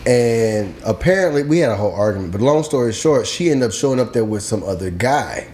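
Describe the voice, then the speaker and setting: A man co-hosting a casual podcast, telling stories loudly and animatedly with plenty of swearing. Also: mid-range voice, fairly quick. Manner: animated, loud storytelling with profanity. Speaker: podcast co-host. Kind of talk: casual chat.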